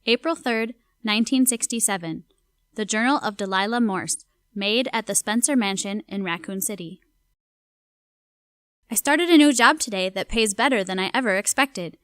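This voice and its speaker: A woman's voice: medium pitch, moderate pace, fairly bright tone, clean tone, no room sound, clean studio sound, lightly acted. A woman playing a dutiful, nervous housemaid confiding in her journal in an audio drama, speaking earnestly. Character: dutiful, nervous young housemaid at a grand mansion.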